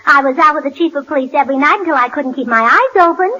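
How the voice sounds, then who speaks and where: A woman's voice: high-pitched, quick pace, bright, forceful tone. A woman doing scatterbrained 1940s radio comedy, in a chirpy, ditzy manner. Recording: muffled 1940s radio broadcast, heavy hiss.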